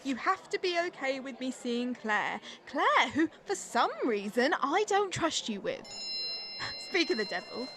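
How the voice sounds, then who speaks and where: A woman acts out a controlling girlfriend in a stage drama. Her voice is high and swings widely in pitch as she accuses and guilt-trips, always sounding wronged and on the defensive. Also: big pitch swings, unhurried, background noise. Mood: controlling, insistent. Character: manipulative, wounded, accusing young girlfriend in abusive relationship. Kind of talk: dramatic dialogue from a stage play.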